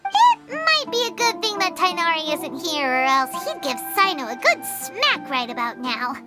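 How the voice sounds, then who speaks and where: A tiny, cheeky fairy companion from a video game. The voice is extremely high and childlike, swooping with excitement, chatty and exclamatory over loud music. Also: female voice, moderate pace. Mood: chatty, musing. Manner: refers to self in third person, exclamatory.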